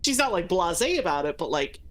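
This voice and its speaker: A woman co-host chatting casually about a game, thinking aloud in a halting way. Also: lowish voice, very animated, fairly bright tone. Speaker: gaming co-host. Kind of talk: casual chat reviewing a game.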